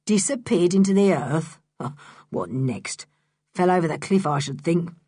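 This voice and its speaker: Woman doing a hoarse scornful thug. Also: sits low, big pitch swings, measured pace, darkish tone, no room sound, studio quality, pitched below the performer's own voice, full character voice. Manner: scornful, mocking. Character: gruff scornful middle-aged man, hoarse and rough.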